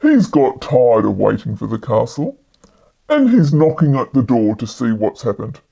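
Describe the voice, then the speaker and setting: A woman voicing a calm, commanding male magician in a storybook, speaking in a deliberate, reassuring way. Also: a bass voice, vocal fry, husky, highs cut off, pitched below the performer's own voice, full character voice. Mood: amused, concerned.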